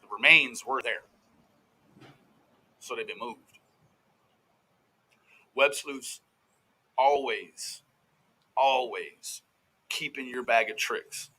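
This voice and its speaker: A man's voice: mid-range voice, very slow, long pauses, pushed and bright, breathy edge, rough recording. A man giving casual, profane reaction commentary as host of a true crime show, in a brash, folksy, slangy way.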